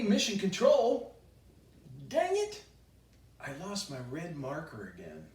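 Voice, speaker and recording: A man reading a children's bedtime story aloud in a playful, expressive way with chatty asides. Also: mid-range voice. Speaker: children's author reading his own book. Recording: roomy echo, distant microphone.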